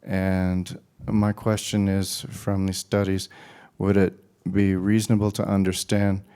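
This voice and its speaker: A man asking his teacher a careful philosophical question, pausing with hesitant fillers. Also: low voice, completely flat delivery, mellow, smooth.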